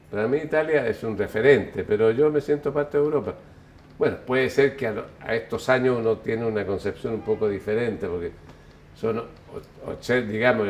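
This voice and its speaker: An older man from Chile, interviewed, musing on his life in an unhurried, reflective way that often corrects itself. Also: medium pitch. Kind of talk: relaxed reflective storytelling.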